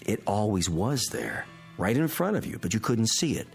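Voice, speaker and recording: A methodical scientist in a radio drama, with a low voice that moves expressively as he explains. He is deliberate and instructive, like someone reasoning through a mystery step by step. Faint music sits behind him. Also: very animated, vocal fry. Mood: explaining, intent. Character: calm analytical male physicist friend explaining a mystery. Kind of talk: modern radio drama acting.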